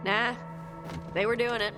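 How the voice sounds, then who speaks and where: An actress playing a blunt, brash, informal warrior in a fantasy video game cutscene. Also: female voice, a little high, a little slow, music in the background, close to an everyday voice. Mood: brash, amused. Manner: blunt, slangy, animated.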